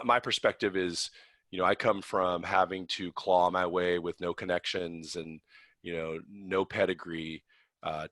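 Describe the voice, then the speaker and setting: A man with a very deep but forceful, bright voice, speaking casually as a founder on a panel. The sound is dry and close, and he talks with brisk confidence. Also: no room sound.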